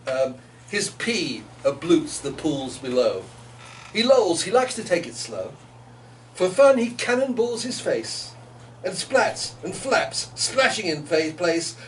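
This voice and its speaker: Man, live poetry reading, very lively intonation, playful and theatrical, chatty asides, faint noise, off-mic. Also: on the high side. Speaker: poet reading own work. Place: gallery reading in front of listeners. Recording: noisy room, distant microphone.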